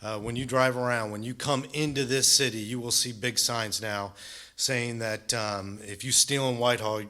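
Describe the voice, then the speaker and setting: Stuffy, nasal deputy chief. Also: male voice, mid-range voice, fairly even intonation, normal speed, sharp tone. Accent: Ohio. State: stuffy from allergies. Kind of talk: town hall briefing.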